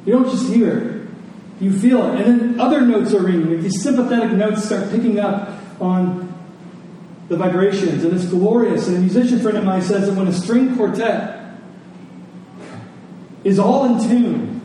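A man's voice: high-pitched, normal speed, warm tone. A middle-aged man preaching a sermon in an earnest, conversational way, repeating phrases for emphasis. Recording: echoey room, distant mic, faint noise.

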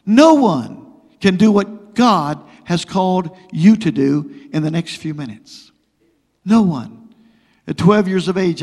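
A middle-aged man preaching a sermon to a congregation, repeating phrases and building to loud, rising exhortation. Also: fairly high pitch, vocal fry. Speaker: guest preacher. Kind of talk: pentecostal sermon with prayer. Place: church sanctuary. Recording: long reverb of a church hall.

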